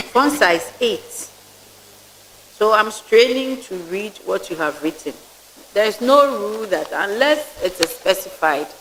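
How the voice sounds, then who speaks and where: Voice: mid-range voice, a bit bright, a little hiss or hum, low-quality recording. Blunt panel member grilling a candidate, probing, critical. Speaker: vetting panelist. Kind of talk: interview questioning.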